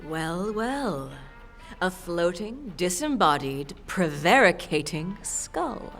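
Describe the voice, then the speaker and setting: A woman's voice: lowish voice, big pitch swings, takes it slowly, fairly bright tone, soft background music, heavily acted. A woman playing an imperious, sly bat-winged fiend shopkeeper in a fantasy audio drama, haughty and teasing with theatrical emphasis.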